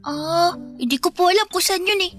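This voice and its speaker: Radio drama child: tiny high voice, wide-eyed, polite, eager, over background music. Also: female voice, normal speed, a bit bright. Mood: curious. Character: eager, innocent young child, nephew of a doting uncle. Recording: music behind the voice.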